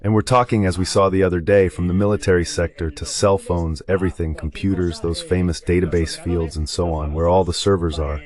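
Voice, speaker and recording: A man's voice: low voice, fairly even intonation, fairly quick, hardly any pauses, a little mellow. A man giving conversational political commentary in an emphatic, repetitive, colloquial way, as a synthetic translation voice-over.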